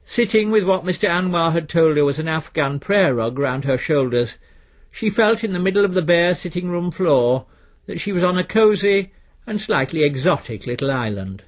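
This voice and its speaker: A man reading literary fiction as a narrator, in a measured, precise and dry storytelling manner. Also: fairly high pitch, expressive. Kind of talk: scripted literary audiobook narration.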